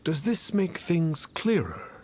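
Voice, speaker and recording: A man's voice: fairly high pitch, sing-song, slow, slight background noise. An actor playing a mysterious man who poses as a lofty immortal sage in a video game, speaking in pompous, archaic, cryptic phrases. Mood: sagely, smug. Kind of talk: theatrical video game dialogue. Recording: music behind the voice.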